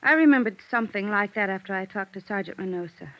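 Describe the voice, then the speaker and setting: An actress playing a guarded, strained widow under suspicion in a vintage radio detective drama. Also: female voice, medium pitch, expressive, fast, fairly bright tone, no room sound, natural voice. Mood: softened, cooperative. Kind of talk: 1950s radio drama acting. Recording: dull vintage radio recording.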